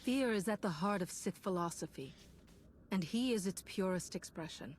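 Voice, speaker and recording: A woman playing a cool, blunt Jedi heroine in a dramatic video game, speaking in a dry, measured way. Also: medium pitch, animated, a little hiss or hum, natural voice. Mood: calm, grave.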